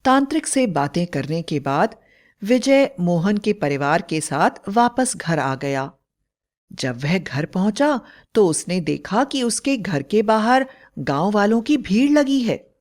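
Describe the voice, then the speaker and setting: A woman storyteller narrates dramatically. Her medium-pitched voice moves in animated rises and falls at a slow, deliberate pace, as in a scripted bedtime tale.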